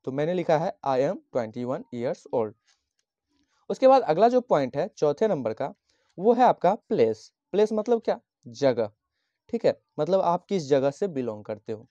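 Man from Bihar, tutor type, slow patient explainer, mellow tone, dry dull lo-fi sound. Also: a little high, big pitch swings, no echo. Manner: slow, patient, repeats each phrase and dictates English lines. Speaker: teacher. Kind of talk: handwritten English lesson explained in Hindi. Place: at a desk, writing on a notebook page.

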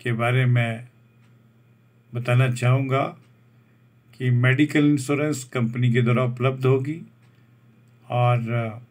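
A man reads out a job advertisement over a document, slowly restating each English term in Hindi. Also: mid-range voice, steady tone. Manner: slow, deliberate, restates each point in Hindi after English terms. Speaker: job vacancy channel host.

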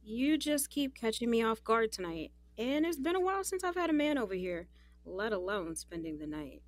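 A woman's voice: a little high, sing-song, moderate pace, dry recording. An American actress playing an independent, guarded but grateful young woman in audio drama dialogue, casual and candid. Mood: guarded, awkward.

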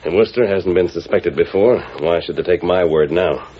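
An actor playing a grave masked hero in a 1940s radio western, giving orders in a formal, authoritative way. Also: male voice, sits low, normal speed, breathy edge. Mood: firm, urgent. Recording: dull, noisy 1940s radio recording.

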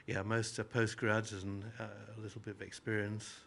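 A man's voice: lowish voice, little pitch movement, normal speed, a bit bright. A man hosting an event and introducing student speakers in a rambling way with many fillers, in a small gallery room. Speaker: event host.

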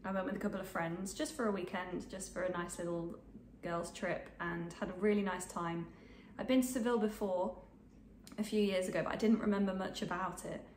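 A woman's voice: medium pitch, fairly even intonation, brisk, a little mellow, roomy sound, slight background noise. Snuffly woman chatting to camera. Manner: chatty, rambling, many ums. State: snuffly from a cold. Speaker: sewing vlogger. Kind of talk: casual chat to camera. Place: home sewing room, indoors.

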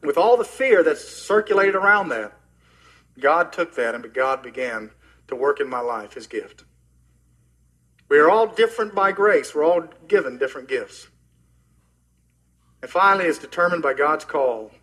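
A middle-aged man preaching a sermon as pastor, earnest and personal, often dropping soft and low at the ends of phrases. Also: animated, normal speed, pauses often, slightly rough, poor audio. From Oklahoma, US.

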